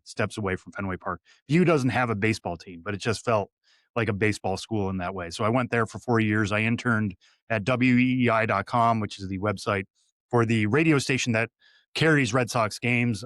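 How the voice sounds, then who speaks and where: A man's voice: fairly low pitch, fairly bright tone, no echo. A man in his thirties from Western Massachusetts, a guest analyst giving long, detailed conversational answers with light fillers. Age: 30s.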